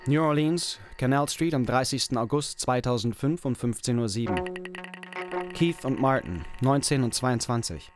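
A man's voice: mid-range voice, moderate pace, soft background music, natural voice. A man reading scripted scene-setting narration for a radio drama.

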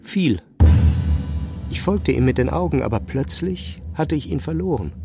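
An actor playing an introspective, unsettled physicist, narrating his own thoughts in a brooding, deliberate way for a radio drama. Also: medium pitch, animated, creaky. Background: music.

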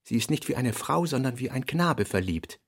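Guy reading literary notes radio play style, medium pitch, clipped bits with pauses, deliberate. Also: animated, creaky.

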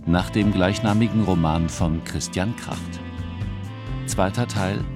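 A man's voice: low-pitched, vocal fry. A man reading scripted credits as the announcer of a radio play. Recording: music behind the voice.

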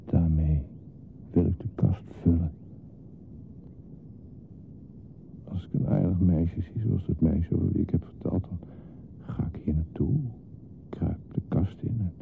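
Muffled lo-fi radio drama, lonely troubled man, very low creaky voice, hesitant, confiding, childlike longing. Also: heavily acted. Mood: wistful, resigned. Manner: hesitant, confiding, often near-whispering. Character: lonely disturbed man who longs to be a baby. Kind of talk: intimate radio play monologue. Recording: muffled lo-fi recording with hiss and rumble.

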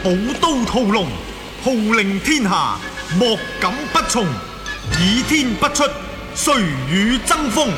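An actor declaiming a solemn, grand wuxia radio series intro in Hong Kong Cantonese. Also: male voice, very high-pitched, animated, slow, full character voice. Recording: radio intro under loud theme music.

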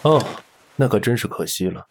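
A man playing a smooth, menacing casino boss in an audio drama, suave, teasing and commanding. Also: fairly low pitch, expressive, normal speed, background noise. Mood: amused probing. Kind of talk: Mandarin audio drama acting.

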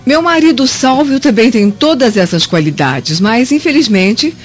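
A woman's voice: fairly high pitch, lively intonation, moderate pace, slightly rough. Woman as scheming Roman noblewoman, Brazilian accent, swooping intonation, indignant, radio drama, dull sound. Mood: feigned innocence, resentful. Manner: indignant, insinuating. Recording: muffled audio with music behind.

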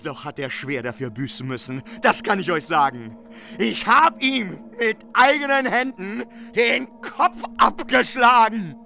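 An actor playing an indignant, battle-weary knight in a radio play, declaiming with outrage. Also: male voice, sits high, lively intonation, unhurried, vocal fry, bright, forceful tone, faint music behind, heavily acted. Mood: grim, vengeful.

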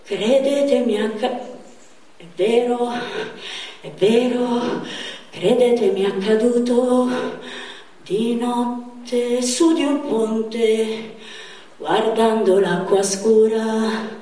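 A male stage actor giving an impassioned, halting theatrical monologue that is full of confiding pleas. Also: very high-pitched, dark tone, recorded from a distance. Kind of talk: theatrical monologue mixed with song. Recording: very echoey stage recording from afar, music behind.

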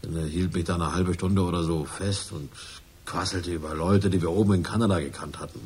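An actor playing a nervous, self-justifying crook confessing in a radio crime drama, halting and colloquial. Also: male voice, low-pitched, fairly even intonation, darkish tone, breathy edge. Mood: tense recounting.

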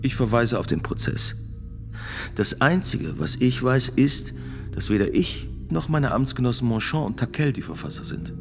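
An actor playing a grave priest and notary of sixty-six, testifying in a radio drama with gravelly, deliberate, formal speech. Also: male voice, mid-range voice, lively intonation, music in the background. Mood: guarded. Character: sixty-six-year-old male priest and court notary, grave witness.

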